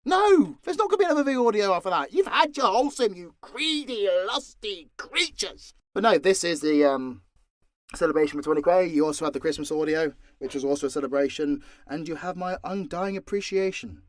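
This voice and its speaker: Higher-voiced British man, sweary and jokey. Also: lively intonation, brisk, no room sound. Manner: sweary, jokey, banter with mock exasperation. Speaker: audio roleplay creator and voice actor. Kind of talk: casual unscripted Q&A, reading and answering fan questions.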